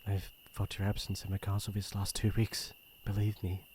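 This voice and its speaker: A man with a British accent playing a shy, earnest young crown prince in an ASMR roleplay, speaking in formal, hesitant, gentle phrases. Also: on the low side, completely flat delivery, normal speed, slight background noise, lightly acted. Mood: tender concern. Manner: formal courtly phrasing, hesitant and soft-hearted.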